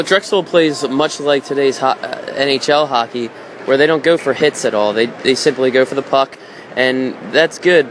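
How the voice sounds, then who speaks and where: A man with a mid-pitched voice offers color commentary on a hockey broadcast. He explains things in a measured, halting way, with loud rink noise around him. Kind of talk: unscripted sports color commentary. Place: indoor ice hockey arena.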